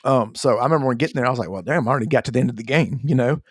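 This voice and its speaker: A man's voice: mid-range voice, very fast, slightly rough, no echo, studio quality. A man co-hosting a gaming podcast, talking in an informal, conversational way. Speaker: podcast co-host. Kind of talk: casual gaming podcast chat.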